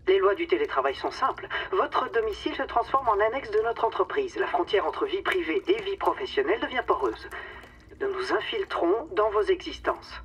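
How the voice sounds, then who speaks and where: A woman's voice: medium pitch, big pitch swings, normal speed, a bit bright, recorded from a distance, muffled recording, slight background noise. An actress playing a domineering, cynical female boss in a satirical radio drama, condescending and theatrical, with mock sweetness. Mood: imperious.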